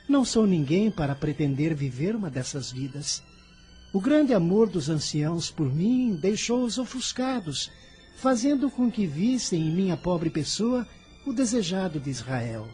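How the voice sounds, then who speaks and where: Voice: mid-range voice, mellow. An actor voicing an earnest, kind fifteen-year-old boy in a radio drama, speaking in a solemn, formal way. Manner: solemn, measured, formal diction.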